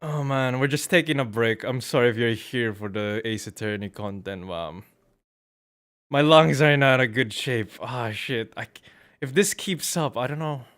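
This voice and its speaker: A man's voice: medium pitch, moderate pace, fairly bright tone. A man chatting casually on a livestream, rambling and swearing freely. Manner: profane, rambling. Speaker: streamer.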